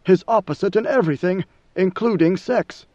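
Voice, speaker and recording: A man voicing a cold, commanding ancient ruler in a measured, lofty way. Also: sits high, warm tone, no echo, muffled recording, lightly acted. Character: ancient imperious male god-emperor, coldly commanding.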